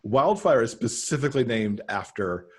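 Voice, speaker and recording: A man's voice: medium pitch, lively intonation, moderate pace. A middle-aged man, a guest novelist, chatting casually about his writing on a video call from home. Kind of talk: casual chat about own book.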